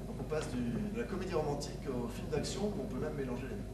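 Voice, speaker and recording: A man's voice: fairly high pitch, animated, racing. A man hosting an improv show, announcing live to an audience. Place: live event before an audience. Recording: echoey hall, distant mic, very noisy.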